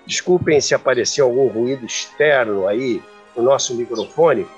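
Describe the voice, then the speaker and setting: A man's voice: mid-range voice, normal speed, highs cut off, faint music behind. A man presenting a show, addressing his audience.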